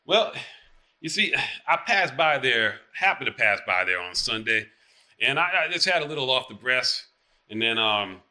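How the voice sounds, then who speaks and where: A man's voice: medium pitch, very animated, normal speed, bright, forceful tone. A man playing a boastful, evasive small-town marshal with a Southern US accent, telling rambling tales in theatrical dialect. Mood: sheepish, evasive.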